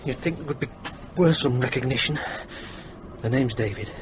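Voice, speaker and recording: A man's voice: mid-range voice, normal speed, lightly acted. An actor playing a prickly, snobbish salesman who talks in a peevish, sarcastic way in a radio drama. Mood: sneering. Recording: noisy radio drama recording.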